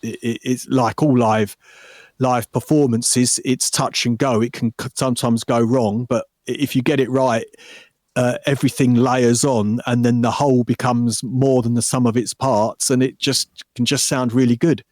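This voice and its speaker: A man who is a guest musician, talking in a thoughtful, measured way with many restarts. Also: medium pitch, fairly even intonation, darkish tone, no room sound, studio quality. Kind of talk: casual interview chat.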